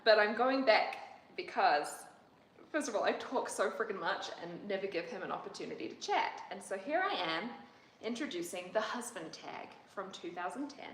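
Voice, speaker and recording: A woman's voice: mid-range voice, lively intonation, normal speed, reverberant, slight background noise, low-quality recording. A young woman who grew up in New Zealand, chatting casually and rambling as she co-hosts a livestream with her husband. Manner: chatty, rambling, laughs often. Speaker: co-host of a livestream.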